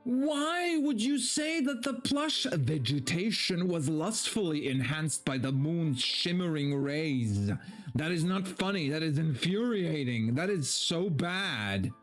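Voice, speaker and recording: A man's voice: a little high, expressive, slow pace, faint music behind. A man doing a dramatic story reading for a stream, mock-serious and breaking into incredulous, sweary commentary.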